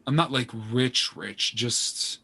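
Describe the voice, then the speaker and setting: A man's voice: medium pitch, takes it slowly, vocal fry, bright, forceful tone, husky, exaggerated voice. A man acting as an earnest, self-deprecating aspiring novelist in a stage play, hesitant and apologetic. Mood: defensive, uneasy. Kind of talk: naturalistic stage play dialogue.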